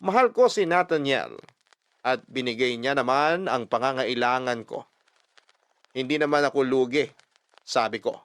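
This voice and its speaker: Man narrating a love story, medium pitch. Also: expressive, unhurried, long pauses, a bit bright, no echo. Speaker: storyteller reading a listener's letter. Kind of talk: scripted first-person dramatic story narration.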